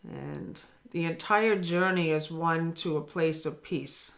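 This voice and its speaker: A woman's voice: low-pitched, takes it slowly, creaky. A woman giving a daily spiritual lesson, earnest and encouraging, speaking directly to the listener. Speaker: spiritual teacher.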